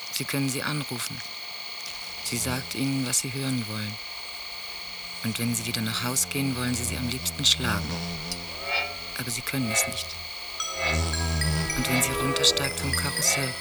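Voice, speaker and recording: Detached teller of bleak scenes, gravelly fry, solemn measured phrasing, loud music behind, radio drama. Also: medium pitch, low-quality recording. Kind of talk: literary radio drama monologue.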